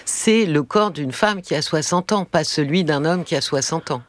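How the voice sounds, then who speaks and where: A woman's voice: low voice, moderate pace, no echo. A woman speaking as a guest on a radio panel, in a thoughtful, somewhat hesitant way.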